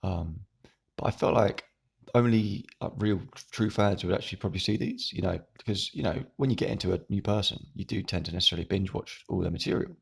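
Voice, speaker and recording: A young man with a croaky, hoarse voice, rambling through a casual monologue to camera at home. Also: deep voice, a little fast. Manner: rambling, meandering. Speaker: YouTuber.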